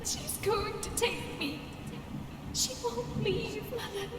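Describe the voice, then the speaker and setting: A woman voicing a frightened, weeping little girl in a horror game, pleading for her mother in drawn-out wails. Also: extremely high pitch, far from the mic, loud music behind the voice. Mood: terrified crying. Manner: pleading, slow, drawn-out wailing. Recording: echoey cavernous hall from afar, heavy noise.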